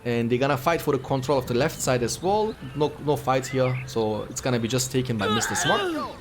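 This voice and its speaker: A man in his twenties from Turkey, casting a strategy game tournament with quick play-by-play and light jokes. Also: medium pitch, very animated, a little hiss or hum. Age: 20s. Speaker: esports caster. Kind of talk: game commentary. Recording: music playing behind.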